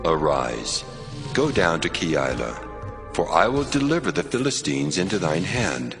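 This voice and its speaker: An actor playing God in a dramatized audio Bible, giving solemn, authoritative commands. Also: male voice, sits low, sing-song, measured pace, music in the background, heavily acted. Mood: solemn commanding.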